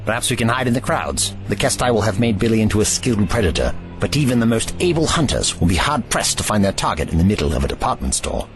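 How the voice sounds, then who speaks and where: A man's voice: fairly low pitch, sing-song, quick pace, over music, low-quality recording. A man playing a grave, erudite ancient time traveller in an audio drama, with formal, slightly pompous phrasing.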